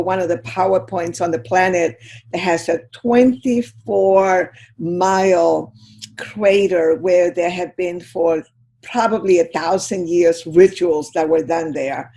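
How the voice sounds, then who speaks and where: A woman's voice: on the low side, warm tone. An older woman from Chile, a guest speaker giving a heartfelt, reflective talk on a video call.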